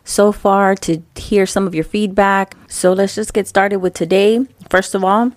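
A woman's voice: medium pitch, fairly even intonation, a little slow, no room sound. A young woman hosting her own podcast in loose, rambling chat, stuffed up from allergies, her breathing close on the mic. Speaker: podcast host. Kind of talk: casual solo podcast chat. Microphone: close mic, breathing audible.

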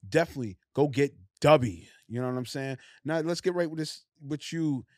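Male podcast host, loose and excitable, slightly high-pitched, dry studio sound. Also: a little fast, dry recording. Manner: hyped, loose and slangy, many restarts. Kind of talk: casual interview chat.

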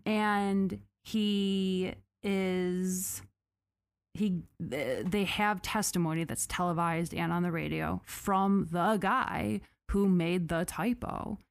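A young American woman talks through a story in easygoing podcast chat, leaning on "like" and jokey asides. Her voice sits on the low side. The recording is close and dry, with no room sound. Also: takes it slowly, vocal fry, no room sound. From United States. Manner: chatty and animated, many "like" fillers, jokey asides. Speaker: podcast co-host.

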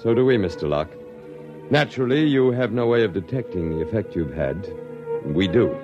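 An actor playing a courteous, superior alien official in a 1950s radio drama, speaking formally and precisely in measured phrases. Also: male voice, lowish voice, animated, close to an everyday voice. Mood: courteous, assured. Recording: muffled old radio recording, music behind.

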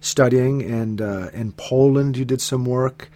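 A man hosting a radio interview, asking long measured questions with many fillers and restarts. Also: medium pitch, warm tone. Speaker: radio host.

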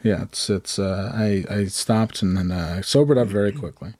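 A man with a low, hoarse voice tells a rambling, self-deprecating story in a relaxed, mellow tone. His voice is close and dry, with no room sound. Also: moderate pace, no room sound. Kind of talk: casual comedic storytelling.

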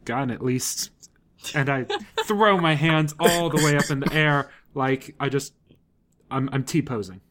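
Man casually role-playing, mid-pitched. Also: a little slow, a bit bright. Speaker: player on tabletop show. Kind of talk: casual improvised role-play.

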